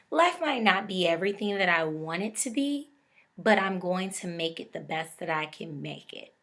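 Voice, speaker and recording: A woman's voice: mid-range voice, pushed and bright. A young woman giving an emphatic, preachy motivational talk straight to her viewers. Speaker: motivational speaker.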